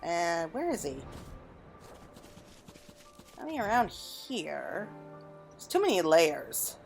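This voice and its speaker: A woman's voice: on the low side, sing-song, slow, vocal fry. A woman giving casual let's-play commentary, chatting and musing aloud to her viewers as the host. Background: game music.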